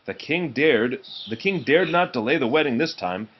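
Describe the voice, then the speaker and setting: A man reading a children's storybook aloud in an expressive narrating style. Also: mid-range voice, normal speed, lo-fi sound. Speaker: storybook reader.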